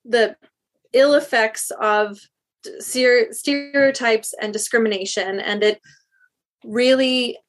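A woman from South Africa with a fairly high voice answers interview questions in an earnest, thoughtful way. She often stops mid-phrase and starts over. Her answers run long. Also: steady tone, slow. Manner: earnest, thoughtful, with many restarts. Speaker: guest, speech language pathologist.

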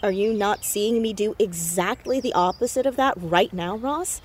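A woman playing a determined, defiant teenage girl in a naturalistic audio drama, brisk and dryly sarcastic. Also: medium pitch, lightly acted. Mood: stubborn, challenging.

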